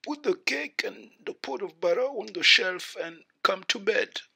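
A man's voice: high voice, animated, measured pace, creaky, sharp tone, husky, voice pushed up, heavily acted. A man voicing a wicked storybook wolf who disguises himself with a falsely sweet feminine voice. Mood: coaxing. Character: wicked wolf posing as sweet granddaughter or old granny. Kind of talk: storybook character voice.

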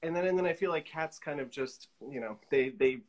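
A man's voice: on the high side, fairly quick. Casual man in his forties. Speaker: interview guest, artist. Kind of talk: casual chat about own work. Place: room at home, video call.

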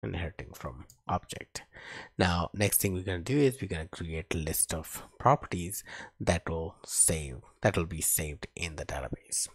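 A man narrating a coding tutorial as an instructor, speaking haltingly as he types along, with a husky voice. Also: low-pitched, no room sound. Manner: halting, talks while typing. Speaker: programming instructor.

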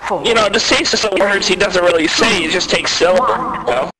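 A man's voice: high-pitched, a little fast, a crowd in the background, poor audio. A young man chatting casually over game voice chat, hesitant and rambling.

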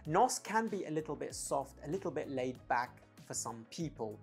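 A man's voice: fairly high pitch, big pitch swings, moderate pace, vocal fry, faint music behind. A man in his thirties giving a casual product review to camera as an audio gear reviewer, recorded indoors on a lapel mic. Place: indoor home studio room at a desk. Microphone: lapel mic clipped to shirt.

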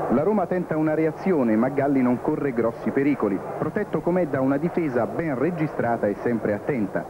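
A man narrating a scripted football match report on an old television broadcast. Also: medium pitch. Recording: phone-like, noisy archive TV broadcast.

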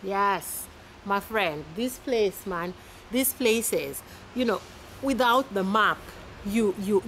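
A woman in her thirties from Kenya with a Kenyan accent, giving casual advice to camera in a chatty, animated way, filmed outdoors in a garden. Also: mid-range voice, a little hiss or hum. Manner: chatty, animated, laughs often, with repeated restarts. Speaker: vlogger. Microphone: camera at arm's length.

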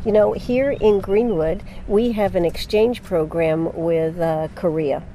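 Chatty older woman, mellow voice. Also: on the low side, slight background noise, low-quality recording. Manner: chatty, unhurried, many fillers. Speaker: radio interviewer. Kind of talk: live radio interview. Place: outdoors on a lawn at a golf course. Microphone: handheld mic.

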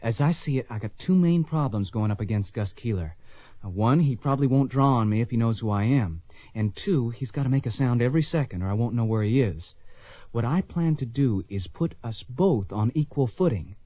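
An actor playing a determined young blind cowboy bent on revenge in a radio western, earnest and resolute, with an American accent. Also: male voice, medium pitch, lively intonation, a little fast, dark tone, no echo, lightly acted. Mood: scheming, calm. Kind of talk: radio western drama acting.

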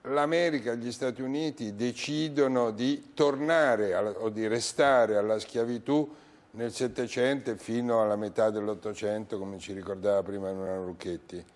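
A man hosting a history show in a TV studio, speaking in a measured, formal way. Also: fairly low pitch, fairly even intonation. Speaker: TV show host. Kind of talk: hosting, scripted closing narration. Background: music.

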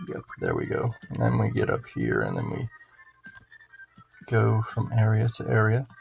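A man's voice: lowish voice, huge pitch swings, normal speed, vocal fry, a little mellow. A man giving casual, rambling gameplay commentary, swearing and muttering to himself as he plays. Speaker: gaming video host. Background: video game music.

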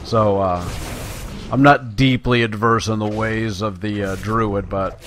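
A man's voice: lowish voice, fairly even intonation, a little slow, fairly bright tone, a little hiss or hum. A man giving playful, casual commentary as he plays a video game, talking to himself and the game. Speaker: gaming video host.

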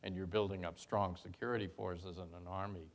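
Deep-voiced older man panelist. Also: fairly even intonation. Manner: measured, reflective. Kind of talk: panel discussion.

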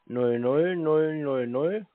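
A man's voice: a little high, slow pace, no echo. A man in his thirties answering a phone call in short, clueless-sounding replies. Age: 30s. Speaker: prank call recipient of a scam call. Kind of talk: phone conversation, brief replies.

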